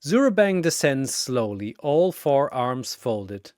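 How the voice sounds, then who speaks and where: Slow, theatrical male narrator, very animated. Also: medium pitch, dry recording, clean studio sound. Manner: theatrical, measured, pausing between short phrases. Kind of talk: dramatic scripted story narration with battle announcer calls.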